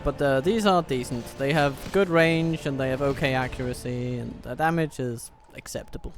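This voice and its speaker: A man's voice: medium pitch, moderate pace, faint music behind. A man whose first language is Danish, giving casual, wry commentary while playing a strategy game and laughing at his own jokes. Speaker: let's play host.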